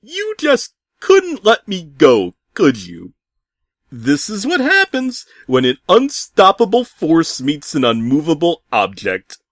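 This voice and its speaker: A man voicing a gloating arch-villain who taunts in a grandiose, drawn-out way as a story's letter writer. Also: on the high side, huge pitch swings, no echo, studio quality, voice pushed up, heavily acted. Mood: gloating, taunting.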